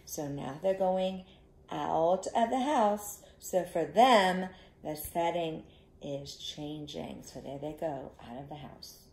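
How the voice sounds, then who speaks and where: A middle-aged woman telling an interactive story to young children, expressive and instructive, inviting them to join in, recorded in a living room. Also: low voice, takes it slowly, vocal fry, echoey room, a little hiss or hum. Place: small living room, indoors. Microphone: camera a short distance away.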